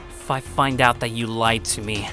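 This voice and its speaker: A man acting as a blunt high school boy, narrating his own story and speaking dialogue in an earnest, tough way. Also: steady tone, moderate pace, over music, lightly acted. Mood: confrontational. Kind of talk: first-person dramatic narration and dialogue.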